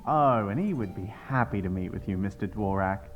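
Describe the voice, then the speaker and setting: A man's voice: lowish voice, animated, normal speed, lo-fi sound, soft background music, close to an everyday voice. An actor playing an officious male assistant with a dry, coolly sarcastic way of talking. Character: snippy, officious male assistant to a church dean.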